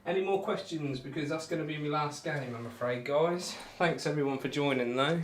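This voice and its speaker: A man's voice: fairly high pitch, a little fast, slight background noise. A man hosting a casual darts livestream, chatting informally with viewers between throws. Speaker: livestream host playing darts.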